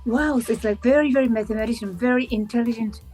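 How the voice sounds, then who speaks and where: A woman hosting an interview, chatty and warm-paced, filling pauses with frequent yeahs and simple phrasing. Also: a little high, breathy edge, faint music behind. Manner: chatty, frequent yeah fillers, non-native phrasing. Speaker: interviewer host. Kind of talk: casual interview chat.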